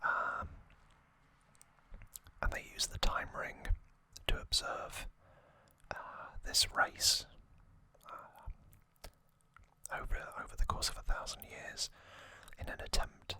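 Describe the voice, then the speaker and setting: A host whispering an ASMR recap in a soft, unvoiced, unhurried voice with frequent pauses.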